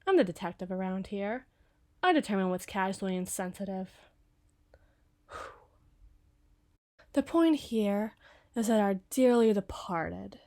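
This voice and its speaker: A sardonic young detective with a sharp, obsessive streak, voiced by a woman in an ASMR roleplay. She talks in a sarcastic, teasing way and lingers on morbid details. Her voice sits at an ordinary middle pitch. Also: animated, unhurried, pauses often.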